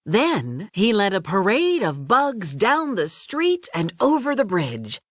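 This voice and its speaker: A woman reads a children's storybook aloud with a hugely animated voice. She takes her time, narrating deliberately and expressively in a close, dry recording. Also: mid-range voice, dry recording. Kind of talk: scripted children's read-along narration.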